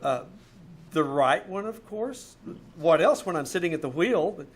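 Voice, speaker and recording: Man playing a high-voiced, flustered young fiance. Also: lively intonation, measured pace, slightly rough. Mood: flustered, pleading. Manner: stammering, earnest. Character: nervous, lovestruck young fiance covering for his girlfriend. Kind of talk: old-time radio mystery acting. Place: live on a theater stage.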